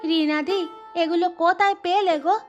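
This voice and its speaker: Young village wife, cartoon, high voice, plaintive, chatty, music behind. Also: female voice, steady tone, moderate pace, highs cut off. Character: poor, plain-spoken young village housewife. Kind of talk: Bengali moral-story cartoon dubbing.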